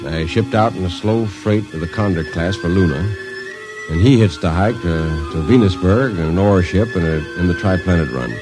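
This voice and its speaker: A man's voice: sits low, normal speed, close to an everyday voice, rough recording. An actor playing a world-weary spaceman who tells the story in a radio drama, in a plain, blunt manner. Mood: sober storytelling. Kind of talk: mid-century radio drama narration and dialogue. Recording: vintage radio drama recording, music underneath.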